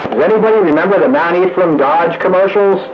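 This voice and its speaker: A man's voice: high-pitched, fast talker. A man chatting casually over CB radio in folksy operator lingo, running a check-in net. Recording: muffled, noisy CB radio audio.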